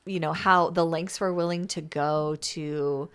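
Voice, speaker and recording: A woman's voice: sits low, fairly even intonation, measured pace, smooth. A woman hosting a casual podcast interview, chatty and informal, often rephrasing herself as she goes.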